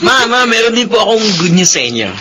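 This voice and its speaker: A man's voice: sits high, sing-song, quick pace, sharp tone, a little hiss or hum, full character voice. A man playing an upbeat, respectful young adult son in a Filipino radio drama, boyish and eager. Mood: excited.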